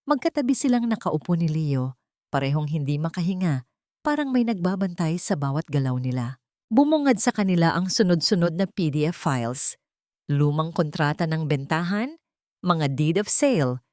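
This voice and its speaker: Low-voiced woman narrating a dramatic story. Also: darkish tone, dry recording, studio quality.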